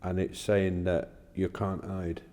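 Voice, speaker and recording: A plain-spoken man talking haltingly in a casual discussion of faith, recorded with long reverb. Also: deep voice, little pitch movement, dark tone.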